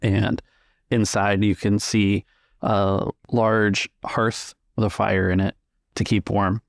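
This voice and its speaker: Low, gravelly man narrating a tabletop game. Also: level tone, slow pace, no room sound, studio quality. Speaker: game master.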